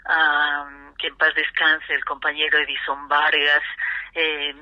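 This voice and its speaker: An older woman with an Ecuadorian accent reminisces in an interview as a radio actress, pausing between phrases. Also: deep voice, completely flat delivery. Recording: dull, narrow-band sound.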